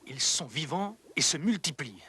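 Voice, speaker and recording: A man's voice: fairly high pitch, big pitch swings, moderate pace, sharp tone, slightly rough, exaggerated voice. A man playing a determined, earnest scientist who explains with confidence in a dubbed educational cartoon. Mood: assured, explaining.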